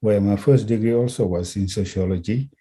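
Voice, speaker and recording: A man's voice: on the low side, a little fast, warm tone. A man from Fiji hosting an interview over a video call, chatty and stumbling over repeated words. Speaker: interviewer, host. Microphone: video call webcam.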